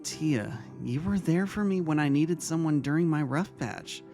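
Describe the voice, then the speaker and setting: A man voicing a kind, reassuring vice-principal in an audio drama, speaking gently and earnestly. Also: fairly high pitch, animated, a little slow. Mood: sentimental, grateful. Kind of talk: audio drama fan fiction reading. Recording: music under the voice.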